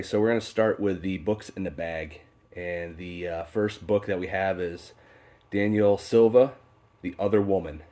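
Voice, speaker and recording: A man with a very low voice, stuffy and congested from a head cold. He talks in a relaxed, unhurried way, filling gaps with "uh" and "um" as he goes through his books. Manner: relaxed and unhurried, with many fillers. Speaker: book channel host. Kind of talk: casual unboxing talk. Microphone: off-camera voice, faceless video.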